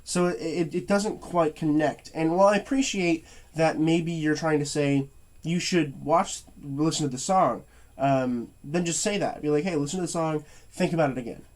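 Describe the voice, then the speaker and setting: A young man reviewing movies in a casual rant, tired and stuffy-nosed, stuttering and restarting his sentences. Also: on the high side. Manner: stuttering, halting, many fillers and restarts.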